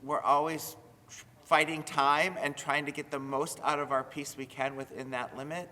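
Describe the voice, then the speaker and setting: A man who directs high school theater, giving explanatory interview remarks. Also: flat delivery, moderate pace, pushed and bright. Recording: reverberant hall with background noise.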